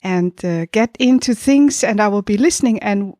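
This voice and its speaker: A woman co-hosting a podcast in casual chat, speaking hesitantly with frequent pauses. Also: medium pitch, mellow, no echo, clean studio sound. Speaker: podcast co-host.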